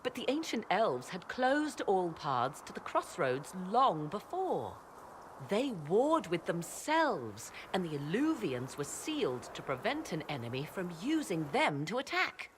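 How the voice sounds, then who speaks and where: A woman's voice: on the low side, huge pitch swings, a little slow. An actress playing a proud, scholarly sorceress in a game cutscene, savouring her words in a theatrical way. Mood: intent, fascinated. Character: enraptured scholarly sorceress, proud and elegant woman. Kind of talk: dramatic video game cutscene acting. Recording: faint background noise.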